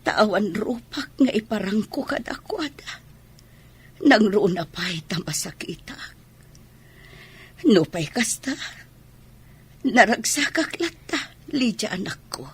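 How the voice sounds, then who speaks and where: A woman's voice: mid-range voice, lively intonation, takes it slowly, long pauses, breathy edge, heavily acted. A woman playing an ailing, grateful elderly mother in a radio drama, plaintive, with a Filipino accent. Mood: grateful, wistful. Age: older. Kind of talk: Ilocano radio drama acting.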